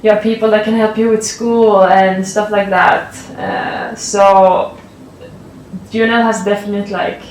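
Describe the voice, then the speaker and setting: A woman's voice: medium pitch, measured pace, distant mic, slight background noise. Young Swedish-accented woman athlete, casual interview, mic set back in a roomy space with faint ambience. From Sweden. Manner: relaxed, many fillers and "like". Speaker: college athlete.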